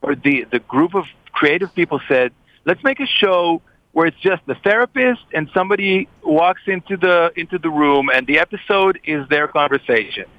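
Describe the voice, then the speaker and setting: Male host, fairly high voice, hesitant and reflective, dull dry lo-fi recording. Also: moderate pace, no echo. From Israel. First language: Hebrew. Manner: thoughtful, hesitant with repeated restarts. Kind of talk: casual discussion.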